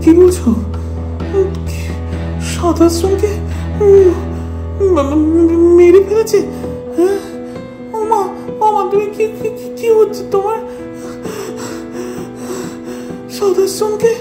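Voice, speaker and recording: A man voicing an anxious, excitable wife who stammers and repeats words in an animated family drama. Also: very high-pitched, slow, long pauses. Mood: shocked. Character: anxious wife and mother, excitable, easily shocked. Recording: roomy echo with music behind.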